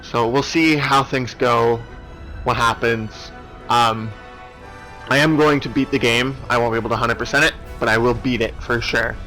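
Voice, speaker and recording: A man's voice: medium pitch, fairly even intonation, normal speed, sharp tone. A man giving casual, rambling let's play commentary in a hesitant, apologetic manner. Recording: game music behind the voice. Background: video game cutscene music.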